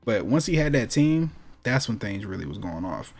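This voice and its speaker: A man co-hosting a casual podcast chat, telling things in animated slang and repeating himself for emphasis. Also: fairly low pitch, normal speed, soft background music. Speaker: podcast co-host. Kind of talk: casual chat about movies and sports.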